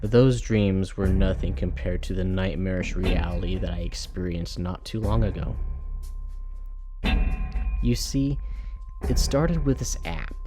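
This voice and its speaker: A man reads a scripted first-person horror story in a measured, dramatic storytelling manner. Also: sits low. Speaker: storyteller, narrator. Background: music.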